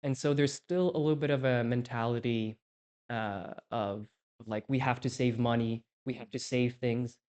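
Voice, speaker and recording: A man's voice: medium pitch, fairly even intonation, moderate pace, a little mellow. A man in his twenties from Poland, co-hosting a podcast and being interviewed, talking in a reflective way and thinking aloud with frequent restarts. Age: 20s. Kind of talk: casual podcast conversation.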